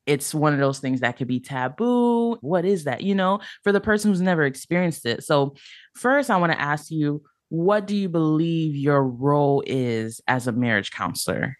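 A woman's voice: low voice, animated, normal speed, no echo, clean studio sound. A woman hosting a podcast about marriage, reading an intro and then interviewing a guest with enthusiasm. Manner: upbeat, enthusiastic. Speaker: podcast host.

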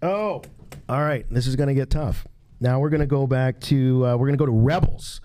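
A man's voice: medium pitch, normal speed, warm tone. A man hosting a casual live quiz on a convention stage, bantering with contestants. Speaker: trivia game host.

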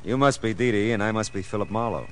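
An American actor playing a wry, world-weary private detective in a clipped, hardboiled 1940s radio style. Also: male voice, lowish voice, fast talker, noisy background, close to an everyday voice. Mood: dry, probing. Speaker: lead actor and narrator. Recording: old radio show with music.

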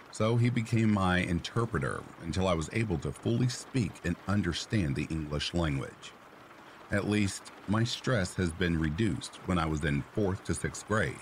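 A man reading a reader-submitted story aloud in a steady, even scripted narration. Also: low voice, a little hiss or hum. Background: faint rain sounds.

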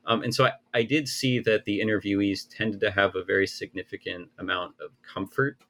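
A man in his thirties, an author answering interview questions in a measured, hedging academic way with frequent restarts. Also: fairly low pitch, steady tone, fairly bright tone. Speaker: author and researcher.

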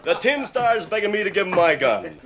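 An actor playing a mocking, hot-tempered American outlaw gang leader in an old radio western. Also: male voice, high-pitched, wildly swooping pitch, fairly quick. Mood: sneering. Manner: sneering, taunting. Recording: muffled, very noisy old radio recording.

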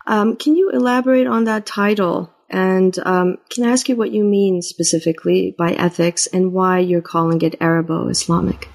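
A woman's voice: on the low side, normal speed, gravelly, hardly any pauses, a little mellow. A woman hosting a book interview, introducing her guest and asking friendly questions with many ums. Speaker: podcast host.

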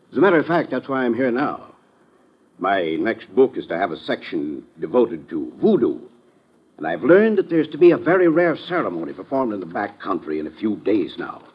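Professor: fussy, pompous, formal, animated lowish voice with husky edge, old dull radio-drama sound. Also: male voice, fairly quick. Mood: self-important. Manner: pompous, formal, precise diction. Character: fussy, pompous little male folklore professor. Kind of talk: 1950s radio drama acting.

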